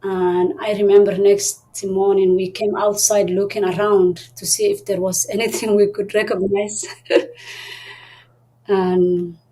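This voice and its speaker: Mellow-voiced woman, soft-spoken. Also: mid-range voice, flat delivery, measured pace, poor audio. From Somalia. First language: Somali. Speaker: guest. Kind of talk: interview conversation.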